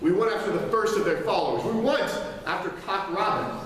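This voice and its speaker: A man giving a grandiose in-character speech as a proud, defiant vampire cardinal, with dramatic, emphatic pauses. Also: high voice, fairly bright tone. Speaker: live role-play performer giving an in-character speech. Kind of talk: theatrical oration to a gathering. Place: indoor room at a live role-play gathering. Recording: roomy, distant, noisy live-event recording.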